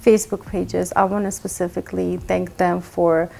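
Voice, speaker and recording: A woman from Armenia, a charity guest, talking earnestly with many halting restarts. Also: on the low side, flat delivery, vocal fry, dark tone, a little hiss or hum. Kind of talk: conversational interview.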